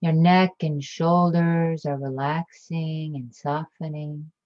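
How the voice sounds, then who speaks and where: A woman with a low voice leads a guided meditation in a calm, soothing way. Her delivery is very slow and level, with gentle phrases set apart by long pauses. The recording sounds a little dull.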